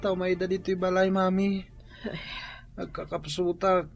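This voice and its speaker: A man's voice: high-pitched, level tone, a little slow, gravelly, dull audio, soft background music. A man playing a weak, hungry, sickly son in a radio drama. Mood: weak, subdued. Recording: faint background ambience noise.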